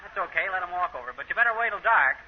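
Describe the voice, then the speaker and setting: Cocky folksy wisecracker, high lively voice, breezy slang, 1930s radio comedy, noisy old broadcast. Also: male voice, racing, phone-quality sound. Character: cocky, folksy, wisecracking small-town husband.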